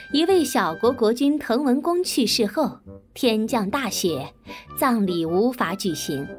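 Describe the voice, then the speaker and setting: A woman reading scripted educational narration for children. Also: a little high.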